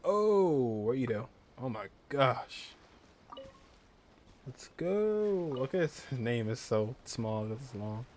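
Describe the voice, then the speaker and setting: A man's voice: mid-range voice, expressive, slow, darkish tone, a little hiss or hum. A man giving casual playthrough commentary as a gaming streamer, with mild exclamations.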